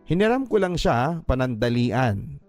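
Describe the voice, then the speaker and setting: A man's voice: mid-range voice, big pitch swings, unhurried, darkish tone. A man narrating a scripted first-person radio drama story in a sorrowful, confessional manner. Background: music.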